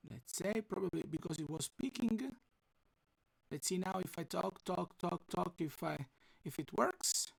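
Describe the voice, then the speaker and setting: Rough-voiced man teaching an online class. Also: high voice, no echo, poor audio. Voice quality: rough, husky voice. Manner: halting, restarts while fixing audio.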